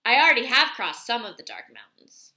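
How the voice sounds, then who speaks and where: Storybook young boy played by a woman: eager, expressive, earnest, with a forceful bright edge. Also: fairly high pitch, a little fast, reverberant, heavily acted. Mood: earnest, questioning. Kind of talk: storybook read aloud.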